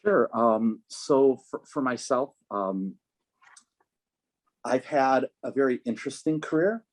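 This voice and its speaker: Earnest man, measured speech. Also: mid-range voice, expressive. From United States, Chicago. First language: Polish. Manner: earnest, measured, with frequent ums and uhs. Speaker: political candidate, guest. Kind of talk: conversational interview answers.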